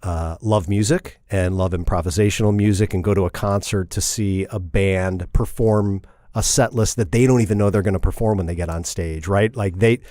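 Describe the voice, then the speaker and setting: A man's voice: deep voice, steady tone, normal speed, hardly any pauses, a little mellow, no room sound, clean studio sound. A middle-aged man from Indiana, talking casually as a podcast guest, rambling and repeating and correcting himself. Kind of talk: casual interview.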